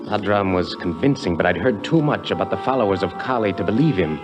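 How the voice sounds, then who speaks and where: An actor playing a tough, wary American adventurer in a 1950s radio drama, with a terse, hard-boiled delivery. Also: male voice, lowish voice, natural voice. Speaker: actor and first-person narrator in a radio drama. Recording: vintage 1950s radio drama, music behind.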